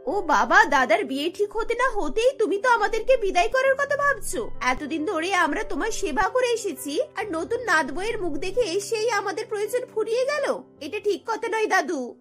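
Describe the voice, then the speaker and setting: A woman's voice: very high-pitched, sing-song, normal speed, a bit bright, faint music behind, pitched above the performer's own voice, exaggerated voice. A woman voicing teasing, playfully indignant young granddaughters in a cartoon, exclaiming and mock-complaining. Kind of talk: cartoon character voices.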